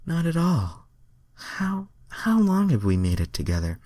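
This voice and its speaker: A man voicing an adoring young female alien heroine in a breathy, gushing manner, for fan fiction. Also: a little high, huge pitch swings, a little slow, warm tone, dry recording, voice pushed up. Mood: tender, spent.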